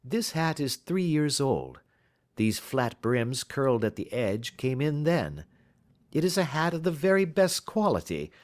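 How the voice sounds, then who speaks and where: A man voicing a brilliant, self-assured detective in an audiobook, lecturing crisply and precisely with a teasing edge. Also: medium pitch, sing-song, unhurried, voice pushed up. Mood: triumphant, explaining. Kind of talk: audiobook character dialogue.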